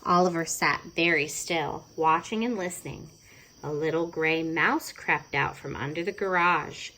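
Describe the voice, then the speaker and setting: A woman's voice: sits low, measured pace, bright, forceful tone, slight background noise, poor audio. A woman narrating a children's storybook expressively, stretching words for dramatic effect. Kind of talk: expressive children's read-aloud.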